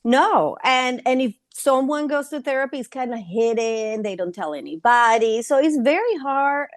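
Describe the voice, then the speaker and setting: A woman therapist from Colombia with a Colombian Spanish accent, a native Spanish speaker, talking in a halting way with fillers in an interview. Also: high-pitched, expressive, no echo, clean studio sound. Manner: warm, halting, many fillers and restarts. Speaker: trauma therapist guest. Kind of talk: conversational interview.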